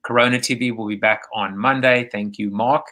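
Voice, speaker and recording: A man's voice: fairly low pitch, steady tone, normal speed, sharp tone. A man with a South African accent hosting a live online interview show from home, talking in a rambling way with many restarts and fillers. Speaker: host. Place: home, remote video call.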